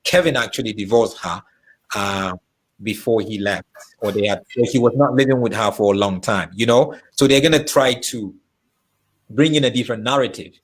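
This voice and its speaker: A man with a fairly low voice hosts the interview. His questions run long and circle back on themselves, padded with "you guys" and "you know". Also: normal speed, husky, poor audio. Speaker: host.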